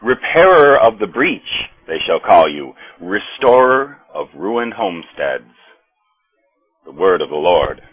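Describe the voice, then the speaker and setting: An American man preaches a sermon, his low voice a little husky. He speaks slowly and deliberately, pausing between phrases. The sound is thin and tinny, like a phone line. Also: sing-song, faint music behind. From United States. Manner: slow, measured preaching with pauses.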